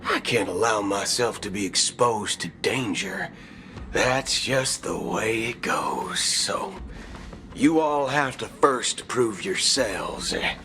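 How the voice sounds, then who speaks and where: A folksy space cowboy from a video game, all swagger and playful threat. He talks in a bright, slow Western American drawl that swings widely in pitch. Faint music plays behind him. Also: big pitch swings, heavily acted. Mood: menacing, playful. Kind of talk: video game cutscene acting. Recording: background music.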